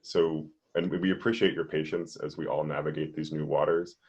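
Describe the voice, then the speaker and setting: A man's voice: very deep voice, steady tone, a little fast, a little mellow, slightly rough. A man moderating an online panel over a video call, explaining things steadily with frequent "um"s. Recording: muffled, lo-fi video call audio.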